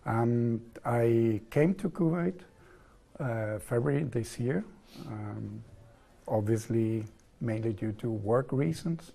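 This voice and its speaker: Man in his 40s, German accent, TV studio guest, measured, explanatory. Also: medium pitch, gravelly, warm tone. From southern Germany. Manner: measured, explanatory, repeats set phrases. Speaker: interview guest, engineer. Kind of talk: TV studio interview.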